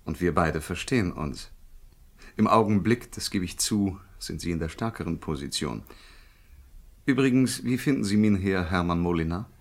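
A male crime boss of about forty in a radio thriller. The voice is low and somewhat rough. He is genial and courteous on the surface, calculating and persuasive underneath. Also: expressive, moderate pace. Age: around 40. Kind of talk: radio crime drama acting.

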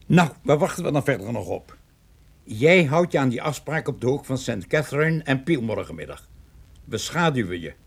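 Radio-drama cop: raspy mid-range voice, sceptical, streetwise, dry and businesslike. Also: male voice, mid-range voice, sing-song, moderate pace, exaggerated voice. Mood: sceptical, commanding. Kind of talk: Dutch radio drama acting.

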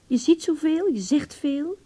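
Radio play lead: wry, wounded beautician in love, female, mellow relaxed tone, intimate and hesitant delivery. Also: high-pitched, unhurried. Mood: reproachful, sarcastic. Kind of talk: Dutch radio play acting, intimate dialogue.

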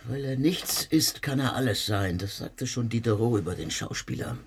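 A man's voice: mid-range voice, expressive, moderate pace, breathy edge. An actor playing a sardonic, educated male guest who speaks with irony. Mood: musing. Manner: sardonic, ironic. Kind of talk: radio play acting.

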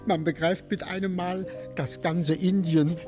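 A man's voice: sits high, steady tone, unhurried, music in the background. An actor playing a gushing, worldly bourgeois businessman in a German radio play, enthusiastic and confiding.

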